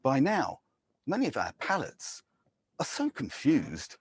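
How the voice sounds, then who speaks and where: A man, a poet, reading his own poems in a measured, deliberate way, with short casual introductions between them. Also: medium pitch, huge pitch swings, breathy edge, dry recording. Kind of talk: poetry reading with spoken introductions.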